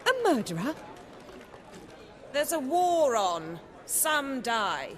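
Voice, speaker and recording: A woman's voice: high-pitched, sing-song, slow pace, some chatter behind, exaggerated voice. A woman playing a haughty, impatient tavern patron in a fantasy game. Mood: dismissive. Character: haughty, impatient female music-lover in a tavern. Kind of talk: fantasy video game acting.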